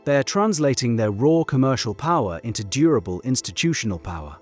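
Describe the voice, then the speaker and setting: A man delivering scripted video-essay narration in measured, rhetorical short phrases. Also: medium pitch, sing-song, a little mellow. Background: background music.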